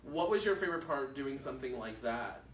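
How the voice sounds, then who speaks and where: A man hosting a casual interview show, chatty and quick to laugh, swearing freely as he asks questions. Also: medium pitch, lively intonation, recorded from a distance, slight background noise. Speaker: talk show host. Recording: roomy, off-mic with faint ambience.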